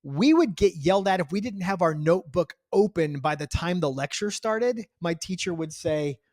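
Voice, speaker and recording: A man's voice: high-pitched, animated, normal speed, darkish tone, no room sound. Wry man podcaster, close dry sound. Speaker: podcast co-host. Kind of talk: casual chat, joking commentary.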